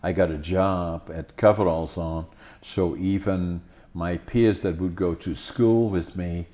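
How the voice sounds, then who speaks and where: An older man in his seventies from the Netherlands, speaking English with a Dutch accent and Dutch as his first language, hosting a podcast in a halting style full of fillers and restarts. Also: deep voice, fairly even intonation, warm tone. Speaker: podcast host. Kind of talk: casual conversation.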